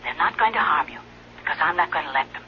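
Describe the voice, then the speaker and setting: A woman's voice: deep voice, expressive, fast talker. An actress playing a protective, worried old nurse in a 1970s radio drama, soothing and firm like a mother. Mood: protective, anxious. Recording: muffled hissy old radio recording.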